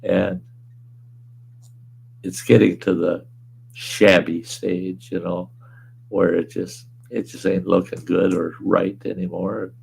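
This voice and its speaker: Hoarse, deep-voiced gravelly man. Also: flat delivery, slow pace, long pauses, a little mellow, rough recording. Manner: laid-back, rambling, chuckles often, salty language. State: hoarse, weakened voice. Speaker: livestream host. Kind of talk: casual livestream chat reading viewer comments. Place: at home.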